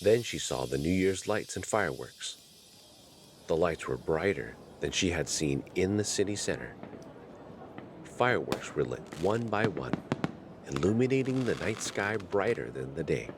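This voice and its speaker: A man with a deep, low voice narrates a fairy tale. His delivery is dramatic and richly varied in pitch, with faint ambient noise behind him. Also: big pitch swings, unhurried.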